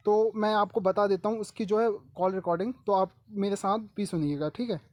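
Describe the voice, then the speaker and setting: A man's voice: high voice, a little fast, darkish tone, slight background noise. A man from Madhya Pradesh hosting an informal explainer for students, speaking familiarly with frequent check-in tags. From Madhya Pradesh, India. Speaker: exam-update video host.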